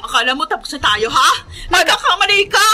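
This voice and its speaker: An actress playing a furious betrayed wife in a radio drama, accusing and confrontational. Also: female voice, high voice, big pitch swings, brisk. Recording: clearly noisy radio drama recording.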